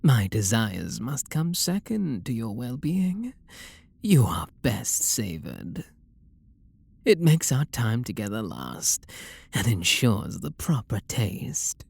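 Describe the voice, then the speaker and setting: A man acts out a refined British vampire lord, tender and possessive toward a lover. The voice is husky and slow, with lively swoops in pitch as it slips toward whispers. It plays as intimate, seductive ASMR roleplay. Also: full character voice. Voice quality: breathy, gravelly. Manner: soft, slow, seductive murmur with breathy whispers.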